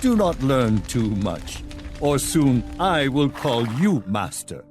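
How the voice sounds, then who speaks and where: Seasoned samurai lord, expressive delivery. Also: male voice, medium pitch, soft background music. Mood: admonishing. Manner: measured, courtly, theatrical. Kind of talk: dramatic game cutscene acting.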